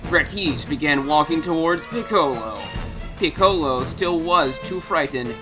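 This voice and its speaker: A man narrating a mock-dramatic reading of fan fiction in a deliberate manner, taking awkward text as written. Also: a little high, expressive, music in the background.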